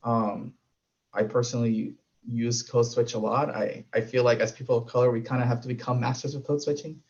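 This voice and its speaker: A Spanish-native man from Puerto Rico speaks in a level, even tone, pausing often to gather his thoughts. His voice comes through a dull, lo-fi video call line. He is a panelist sharing something personal. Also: medium pitch. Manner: thoughtful, hesitant with many fillers. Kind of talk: personal reflection in a panel discussion.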